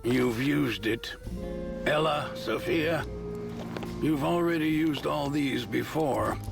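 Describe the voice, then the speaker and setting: An actor playing a weary, world-wise underworld fixer in a game trailer, with a gravelly voice. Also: male voice, mid-range voice, slow pace. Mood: weary, resigned. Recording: background music under dialogue.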